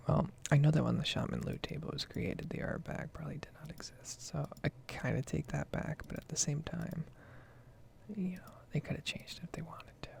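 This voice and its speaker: A woman speaking in a soft, breathy whisper, rambling casually in an ASMR style as she comments on her own gameplay. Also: sits low, brisk. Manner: casual, meandering. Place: basement at home.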